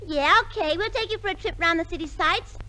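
A woman's voice: very high voice, fairly quick. An actress playing a brash, chirpy company telephone girl in a 1950s radio comedy. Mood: chirpy, businesslike. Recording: noisy 1950s radio recording.

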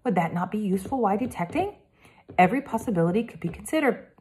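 A woman's voice: medium pitch, expressive, fast, darkish tone, pitched above the performer's own voice, close to an everyday voice. A woman doing a formal boy detective. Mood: earnest. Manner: stiff formal phrasing, courteous. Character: polite formal French boy sleuth.

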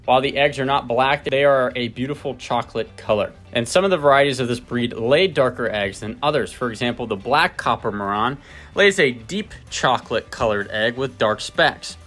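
A man's voice: medium pitch, normal speed, a bit bright, faint music behind. A man giving a scripted, lightly playful voice-over narration.

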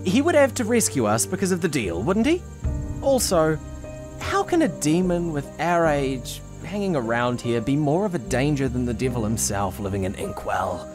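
A man's voice: on the high side, big pitch swings, moderate pace. A man voicing an anxious, well-meaning young teacup boy in a cartoon comic dub, hesitant and pleading. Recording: prominent music behind the voice.

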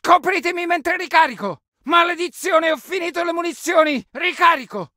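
Italian infantry soldier, war game: very high shouted pitch, bright edge, urgent and forceful, dry studio sound. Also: male voice, normal speed, no room sound. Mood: frantic, urgent. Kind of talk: shouted battle orders for a war video game.

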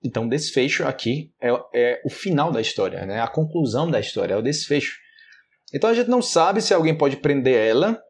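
A man in his thirties with a Brazilian Portuguese accent, teaching Portuguese vocabulary in a casual, animated video lesson and acting out example phrases. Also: mid-range voice, a little fast. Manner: animated, gestures a lot, acts out example phrases. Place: small indoor room, plain wall.

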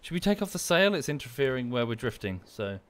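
A man's voice: mid-range voice, very animated, fast, a bit bright, clear, resonant tone. A man chatting casually and a little hesitantly as he streams a game. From United Kingdom. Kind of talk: casual gaming stream chat.

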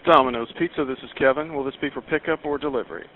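A man's voice: medium pitch, racing, noisy background. A man working the phone at a pizza shop, giving brief customer service replies over a dull line. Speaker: pizza shop employee taking calls.

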